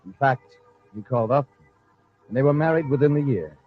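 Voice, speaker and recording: A man's voice: medium pitch, lively intonation, fairly quick, husky. A man narrating a scripted old-time radio story in a dramatic, florid storytelling manner. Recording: dull, lo-fi old radio recording.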